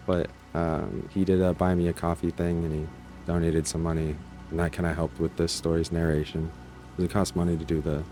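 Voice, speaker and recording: A man's voice: low voice, dark tone. A man giving a casual, offhand introduction as the creator of an online channel. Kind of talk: casual, informal video intro. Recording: faint music and rain sounds behind.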